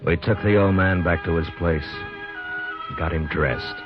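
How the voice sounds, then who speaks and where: A weary, kindly but firm Old West marshal with an extremely low American voice. He speaks as in a 1950s radio play, through a muffled old broadcast with music behind him. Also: measured pace. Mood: weary, narrating. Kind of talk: 1950s radio drama acting and narration.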